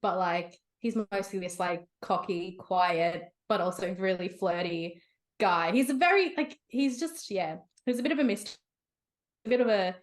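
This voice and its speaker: A woman's voice: mid-range voice, moderate pace. A young woman in her twenties, an author being interviewed, talking in a bubbly, rambling way with many "like" fillers and light laughs. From half Romanian, half Sri Lankan heritage. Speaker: author guest. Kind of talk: casual interview chat.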